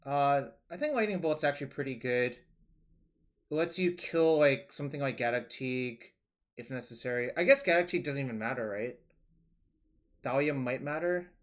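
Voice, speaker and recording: Young male streamer, relaxed, rambling, explains plays as he goes, mid-pitched voice. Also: moderate pace. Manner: relaxed, rambling, thinking aloud. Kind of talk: casual card-game stream commentary.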